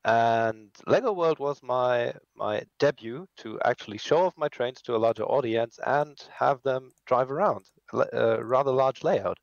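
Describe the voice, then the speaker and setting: A man in his mid twenties from Germany talks casually as a podcast guest. His voice is medium in pitch, heard through dry, slightly dull lo-fi audio. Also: a little slow, vocal fry, few pauses, no room sound. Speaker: podcast guest, hobby train builder. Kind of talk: casual chat.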